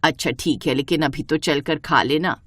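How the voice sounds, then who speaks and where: A woman's voice: deep voice, normal speed, fairly bright tone, a little hiss or hum, voice pushed down. A woman voicing a bossy, breezy older mother-in-law in a storybook tale, with a matronly, admonishing manner. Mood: placating. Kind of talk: animated Hindi storybook dialogue.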